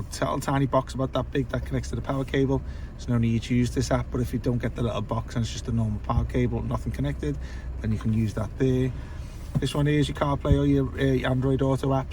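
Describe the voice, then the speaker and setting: A man with a croaky voice casually walks through a car stereo install from inside the car, talking loosely with lots of fillers. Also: medium pitch, fast, background noise. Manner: relaxed, filler-heavy explaining. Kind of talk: casual product walkthrough.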